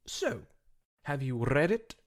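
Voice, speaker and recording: A man's voice: medium pitch, sing-song, slow, pitched above the performer's own voice. A man voicing an impatient, skeptical, brash young female pegasus pony with dry, pointed questions.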